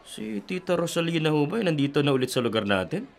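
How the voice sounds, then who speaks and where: An earnest young cop. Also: male voice, on the high side, very animated, fast talker, vocal fry. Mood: friendly. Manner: friendly, respectful, matter-of-fact. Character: young male police officer, dutiful son. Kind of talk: radio drama acting.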